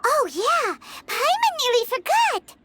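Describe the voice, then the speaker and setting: Casting: tiny fairy sidekick for an anime game; very high, swooping, earnest and nosy, music underneath. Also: female voice, sing-song, unhurried, very noisy, full character voice. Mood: curious, chirpy. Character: small cute fairy-like companion, childlike, nosy and earnest. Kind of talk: anime-style video game voice acting. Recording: music playing behind the voice.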